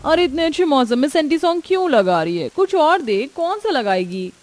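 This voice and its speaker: A woman's voice: high-pitched, normal speed, voice pushed up. Woman acting a brash young modern daughter-in-law. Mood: excited. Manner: lively, outspoken.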